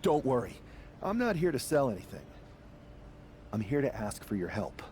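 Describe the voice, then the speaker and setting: Man playing a determined young-hero type wrestler, earnest, normal pitch, faint noise behind. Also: very animated, moderate pace, mellow, natural voice. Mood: friendly. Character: earnest, determined male wrestler seeking allies. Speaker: wrestler in a story cutscene. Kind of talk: dramatic game dialogue.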